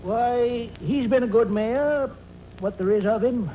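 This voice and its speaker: An actor playing a dry, deadpan old New England farmer in folksy 1940s radio comedy, on an old recording. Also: male voice, very high-pitched, slow, slight background noise, poor audio.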